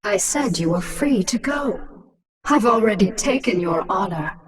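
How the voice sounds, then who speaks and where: A woman's voice: medium pitch, animated, unhurried, crowd noise, lightly acted. Woman playing an imperious alien queen, slightly distant. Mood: gloating, scornful. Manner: commanding, taunting, theatrical. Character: imperious, cruel alien swarm queen, coldly gloating. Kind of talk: video game voice acting.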